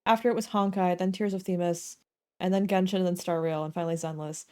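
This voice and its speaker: A woman's voice: fairly low pitch, steady tone, quick pace, darkish tone, clean tone. A woman livestreaming a game with casual, slangy, self-deprecating commentary and jokes. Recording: game music playing behind voice.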